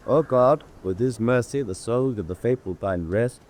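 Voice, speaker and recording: A man's voice: mid-range voice, moderate pace, darkish tone, natural voice. An actor playing a solemn clergyman reciting a graveside prayer in a radio drama, intoning it reverently.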